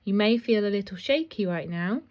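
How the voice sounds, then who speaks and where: A woman with a slightly croaky voice gives a casual spiritual card reading, chatty and self-deprecating. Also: medium pitch, expressive, moderate pace. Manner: chatty, warm asides, often laughs at herself. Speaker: intuitive card reader.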